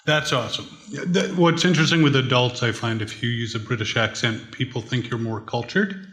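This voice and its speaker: A man's voice: mid-range voice, fairly even intonation, brisk, fairly bright tone. A man moderating a convention panel, giving brief reactions and asking the guest questions.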